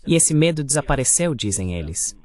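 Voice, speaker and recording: A man's voice: medium pitch, sing-song, fairly quick, darkish tone. Man giving voiced-over political commentary. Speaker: translated interview guest.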